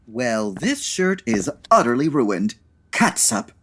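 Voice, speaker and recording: Give me a husky, theatrical, fussy dandy. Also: male voice, mid-range voice, very animated, takes it slowly, fairly bright tone, heavily acted. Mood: haughty, put out. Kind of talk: comedy audio drama.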